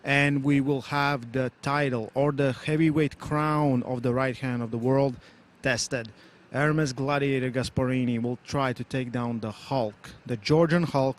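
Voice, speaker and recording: A male sports commentator opening a live broadcast, building excitement in short declarations. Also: mid-range voice, slight background noise.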